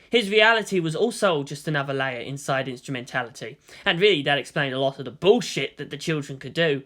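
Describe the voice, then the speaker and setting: A narrator reading a story aloud in an expressive, scripted storytelling style. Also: mid-range voice. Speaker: audiobook-style reader of fan fiction.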